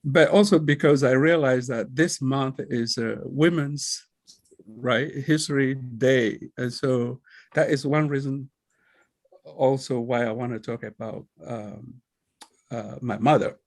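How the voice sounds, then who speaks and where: A man whose first language is Kabye, a librarian giving a slow, reflective talk over a video call, halting often with fillers. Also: medium pitch. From northern Togo. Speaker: librarian presenter. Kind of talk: virtual meeting talk.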